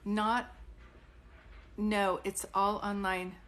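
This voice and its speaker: A woman's voice: mid-range voice, level tone, extremely slow, a bit bright. A middle-aged woman working as a psychic medium, chatting casually on a live stream, thinking aloud and often stopping to restart a word.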